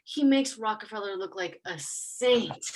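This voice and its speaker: An actress playing a smug, snarky demon in a comic stage monologue, sarcastic and conversational, boasting about corrupting mortals. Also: female voice, medium pitch, unhurried, slight background noise, natural voice. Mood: smugly amused. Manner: sarcastic, conversational, casual swearing.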